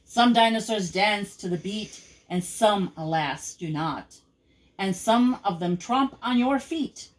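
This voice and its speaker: Older woman, grandmotherly storyteller, slow pace, mid-pitched, rhythmic read-aloud of children's verse. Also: expressive, poor audio.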